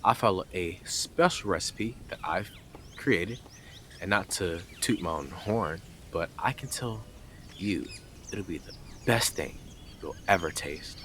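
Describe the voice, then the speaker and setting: A man's voice: sits low, unhurried, a bit bright, slight background noise. A man playing a blunt, smug, obsessive male neighbour in an audio roleplay, with a taunting, sarcastic delivery and dramatic emphasis. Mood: taunting, matter-of-fact. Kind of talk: scripted audio roleplay addressed to listener.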